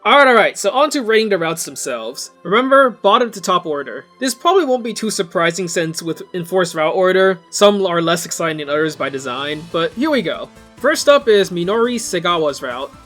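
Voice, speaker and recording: A man's voice: sits high, big pitch swings, fairly quick, few pauses, a bit bright. A young adult man reading a scripted but casual video game review, with wry, informal asides. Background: background music.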